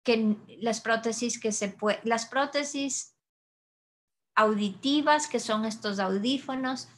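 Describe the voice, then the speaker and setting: A woman's voice: on the high side, slow pace. Woman audiologist answering webinar questions. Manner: calm, explanatory, frequent restarts. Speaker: audiologist presenter. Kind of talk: webinar Q&A explanation. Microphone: webcam video call.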